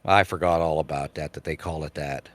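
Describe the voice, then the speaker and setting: A man's voice: a bass voice, fairly even intonation, fairly quick, a bit bright, no room sound. A man co-hosting a podcast in casual chat, rambling with many fillers and restarts. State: losing his voice, clearing his throat. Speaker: podcast co-host.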